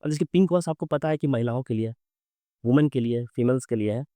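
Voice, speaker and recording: A young man from Bihar, teaching exam quiz questions in with a sore, hoarse throat, reading English questions slowly and explaining with many fillers. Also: mid-range voice, mellow, no echo.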